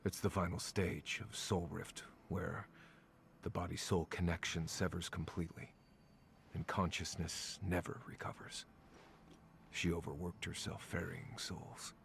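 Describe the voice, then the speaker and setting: A mournful ferryman of souls from a video game. His voice is low and gravelly, and he speaks with grave, measured restraint. There is faint noise behind him. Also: little pitch movement, fairly bright tone, heavily acted. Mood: somber, reflective.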